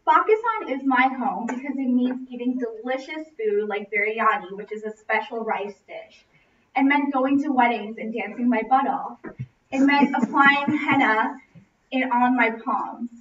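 A young American woman delivers a prepared personal speech in a fairly high voice. She projects expressively across a classroom, picked up from a distance by a camera microphone, so the sound is roomy and echoing. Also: lively intonation, a little slow. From Los Angeles, United States. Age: young adult. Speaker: student speaker. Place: classroom, indoors. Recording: roomy, distant, muffled, noisy camera audio.

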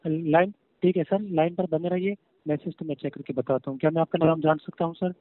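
A fairly high-voiced man talks over a thin, tinny telephone line. He sounds like a call-centre agent reading a script, formal and repetitive, with a "sir" in nearly every sentence. The sound is dry. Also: steady tone, a little fast, dry recording. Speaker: phone scam caller posing as courier agent. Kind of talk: scripted call-centre customer service talk. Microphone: phone call. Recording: tinny narrowband phone line.

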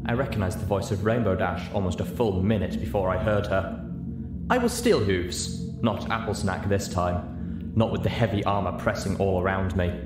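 Low-voiced man narrating, roomy sound. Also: moderate pace. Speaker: audiobook narrator. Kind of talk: scripted first-person story narration. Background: music.

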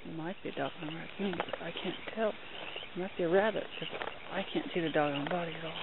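A young man narrating a night hike outdoors into a handheld camera, chatty and excited, with mild minced oaths. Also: a little high, brisk. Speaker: hiking vlogger. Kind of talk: hushed running commentary while filming. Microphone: handheld camera mic. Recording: tinny lo-fi camera audio with hiss.

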